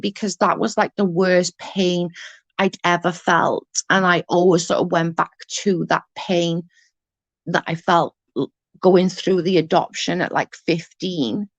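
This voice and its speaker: A woman with a stutter and a gravelly, creaky voice that sits fairly low. She rambles in casual livestream chat, trips over her words and circles back on herself. The sound is close and dry, with no room around it. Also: dry recording. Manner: rambling, halting, often restarts and repeats words. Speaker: livestream host reading chat.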